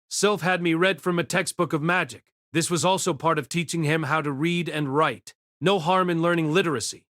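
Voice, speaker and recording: A man's voice: on the high side, a bit bright, dry recording, clean studio sound. A man playing a wry, self-deprecating reincarnated boy mage, narrating his own life in a conversational audiobook style. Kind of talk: first-person audiobook narration with acted dialogue.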